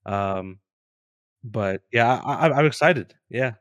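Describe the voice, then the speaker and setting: A man with a medium-pitched voice co-hosts a gaming show. He chats informally and earnestly, with an appreciative way of talking, in a dry recording free of room echo. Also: lively intonation, slow, a bit bright, lo-fi sound. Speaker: co-host of a gaming news show. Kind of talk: casual chat.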